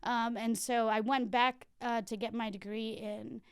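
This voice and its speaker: A woman's voice: on the high side, flat delivery, unhurried, clean tone, dry recording, clean studio sound. A woman in her thirties from Texas, telling her career story as a podcast guest in casual chat with many fillers. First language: Spanish alongside English. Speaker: guest, library branch manager. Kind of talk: casual interview.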